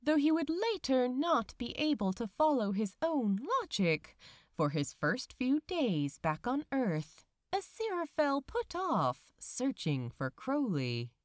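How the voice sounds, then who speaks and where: A woman reading a story aloud as a narrator, in a measured, expressive way with deliberate pauses. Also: high voice, dry recording, clean studio sound. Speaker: podfic narrator. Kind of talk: scripted audiobook-style story narration.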